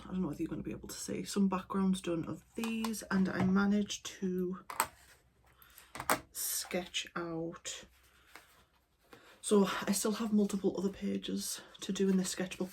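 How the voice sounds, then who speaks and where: A woman chatting casually as an art vlogger at home, talking in a relaxed, rambling way into her camera. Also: medium pitch, a little hiss or hum. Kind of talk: casual vlog chat. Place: at home indoors. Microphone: camera mic.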